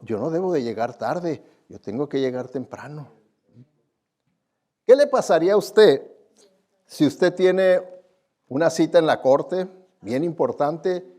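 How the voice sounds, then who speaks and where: A man's voice: mid-range voice, mellow. A man preaching as a pastor to his congregation in a conversational way, admonishing them and often putting questions to them. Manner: admonishing, often asks listeners questions and tags for agreement. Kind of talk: conversational sermon with rhetorical questions. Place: speaking live before a church congregation.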